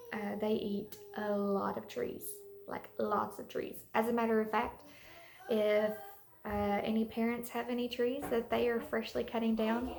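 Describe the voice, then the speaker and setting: A woman's voice: a little high, a little mellow. A woman preschool teacher talking and reading a storybook aloud to young children in simple language, at home. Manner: simple, child-directed explanations. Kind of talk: storybook read-aloud with casual chat to children. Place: indoors at home, echoey room. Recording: roomy echo, clear background noise.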